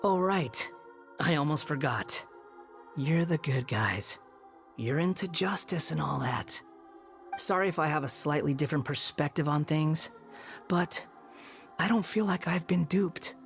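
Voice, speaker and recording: A man's voice: fairly high pitch, unhurried, gravelly, pauses often. An actor voicing a bitter, proud young male former villain in a video game, with a dry, aloof manner. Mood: sardonic. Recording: background music under voice.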